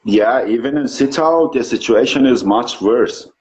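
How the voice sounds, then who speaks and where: A man from Iran whose first language is Turkish, telling his experiences in an interview, earnest and pausing often with fillers. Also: medium pitch, a little mellow, poor audio. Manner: earnest, searching for words with many fillers. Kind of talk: casual interview talk.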